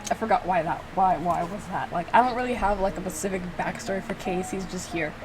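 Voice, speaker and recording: A woman's voice: fairly low pitch, brisk, music in the background. A woman giving casual, rambling playthrough commentary, giggly and poking fun at herself. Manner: self-mocking, giggly, rambling. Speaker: video creator reacting to own game.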